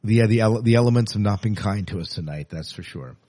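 A man's voice: lowish voice, quick pace, background noise. Man hosting a casual podcast. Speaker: podcast host.

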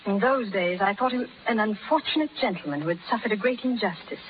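An actress playing a proud, scornful young gentlewoman with haughty, precise diction in 1930s radio drama style. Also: medium pitch, quick pace, close to an everyday voice. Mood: bitter, impassioned. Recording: muffled, noisy 1930s radio recording.

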